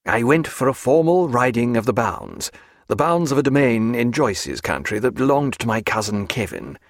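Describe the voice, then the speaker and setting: A man reading aloud voices a reserved, earnest gentleman doctor who talks in a measured, formal way. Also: mid-range voice, big pitch swings, no room sound, studio quality.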